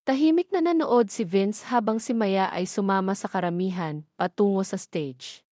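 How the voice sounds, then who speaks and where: A woman's voice: mid-range voice, normal speed, mellow, no echo, clean studio sound. A woman reading a scripted romance story as a dramatic narrator.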